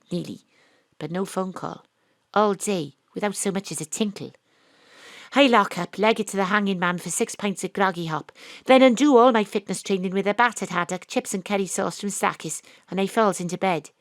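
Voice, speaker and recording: A woman's voice: medium pitch, moderate pace, dry recording, clean studio sound, natural voice. An actress playing a brash, wry Welsh private detective with a Welsh accent, narrating her own story. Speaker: narrator and lead.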